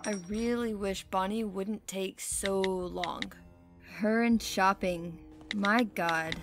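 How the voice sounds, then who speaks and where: A woman's voice: mid-range voice, fairly even intonation, takes it slowly, over music. A woman playing a blunt, sardonic young woman in an audio drama, teasing drily. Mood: casual.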